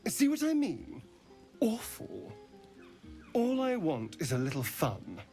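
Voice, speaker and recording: A man's voice: high-pitched, huge pitch swings, slow, dark tone, full character voice. An actor playing a vain, flirtatious, theatrical man in a fantasy game, with an arch, drawling delivery. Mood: sulky, disdainful. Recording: music behind the voice.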